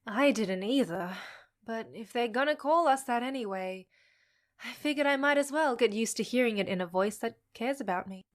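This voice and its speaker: Woman acting as young half-fae woman, fairly high voice, frank, wry, teasing but supportive, fantasy audio drama. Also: normal speed, close to an everyday voice. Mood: reassuring.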